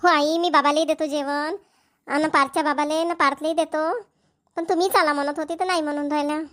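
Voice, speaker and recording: A woman's voice: high-pitched, fairly even intonation, fast talker, a bit bright, no echo, clean studio sound. A woman voicing a caring young daughter-in-law in a family cartoon, coaxing and attentive, with a Varhadi Marathi accent. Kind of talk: cartoon family comedy voice acting.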